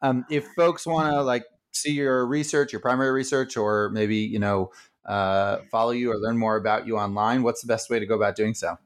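A man's voice: medium pitch, fairly even intonation, moderate pace. A man hosting an interview, speaking politely and appreciatively to his guest. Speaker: interview host. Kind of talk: interview wrap-up.

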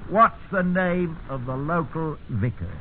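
Wry, quizzical British interrogating officer, very animated intonation, brisk authority; thin-sounding vintage radio play. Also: male voice, a little high. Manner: clipped, commanding, quizzical. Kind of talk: 1950s radio drama acting. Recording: tinny, noisy 1950s radio recording.

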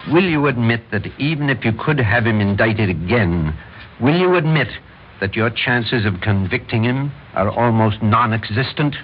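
A man's voice: mid-range voice, close to an everyday voice. Man playing insistent older power broker, muffled old radio. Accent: American. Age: middle-aged. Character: domineering, scheming older political power broker, the Major. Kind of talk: classic American radio drama acting. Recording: muffled old radio recording, faint hiss.

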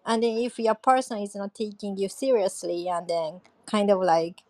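A woman's voice: medium pitch, steady tone, moderate pace, warm tone, dry recording. A woman sharing advice in a casual chat. She searches for her words and uses fillers along the way. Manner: searching, hesitant phrasing with fillers.

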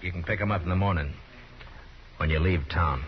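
A man playing a calm, commanding frontier marshal with a dry, unhurried manner in a 1950s radio Western. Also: deep voice, a little hiss or hum, close to an everyday voice. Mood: firm, commanding. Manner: dry, laconic, unhurried authority. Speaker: lead actor. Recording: muffled 1950s radio recording, crowd behind.

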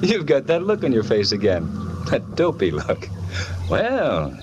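A breezy, condescending husband in a vintage radio drama. His mid-pitched voice moves in very animated swings, jovial and coaxing, with faint noise on the old broadcast. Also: measured pace. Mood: breezy, teasing. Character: breezy, patronizing husband, practical and dismissive.

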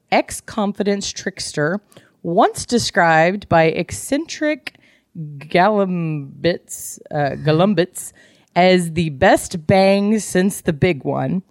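A woman's voice: fairly low pitch, lively intonation, slow pace, a little mellow, dry recording, studio quality. A woman chatting casually as a podcast co-host, candid and joking with salty language. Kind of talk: casual chat about books.

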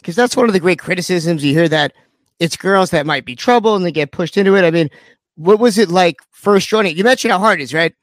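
A man's voice: sits high, fast, no echo. Joking male radio host, dry sound. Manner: joking, crude banter. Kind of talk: casual interview chat.

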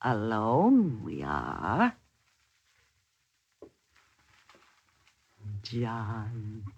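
Affectionate country wife, wistful, lilting wide intonation, slow delivery, archaic phrasing, old radio play. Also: female voice, very deep voice, wildly swooping pitch, vocal fry, darkish tone, husky, heavily acted. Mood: tender, coaxing. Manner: theatrical, quaint archaic phrasing. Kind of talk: old-time radio drama acting. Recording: vintage radio drama recording.

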